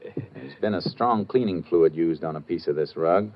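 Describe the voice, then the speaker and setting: An actor playing a tough, dogged Texas Ranger in a 1950s radio crime drama, terse, needling and dryly sardonic. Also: male voice, deep voice, normal speed. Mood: needling, menacing. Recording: muffled, noisy 1950 radio recording.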